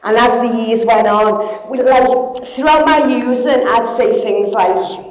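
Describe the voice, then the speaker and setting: A woman's voice: fairly high pitch, slow pace, a little mellow. A woman, giving a candid, blunt personal recovery talk with plenty of swearing. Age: 40s. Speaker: speaker in recovery. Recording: echoey dull hall sound.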